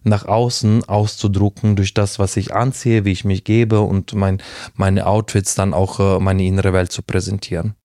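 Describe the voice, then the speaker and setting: A man talks as a guest on a podcast, his voice low and mellow. He is thoughtful and restarts often while he searches for the right words. The recording is dry, with no room sound. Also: little pitch movement, normal speed, no echo. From Ukraine. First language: Russian. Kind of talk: casual conversation.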